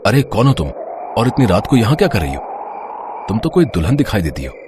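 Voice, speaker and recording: A man playing a gentle, sweet-talking young suitor in a dramatised story, soothing and coaxing. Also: lowish voice, sing-song, brisk, noisy background. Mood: concerned. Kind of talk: dramatised Hindi moral story.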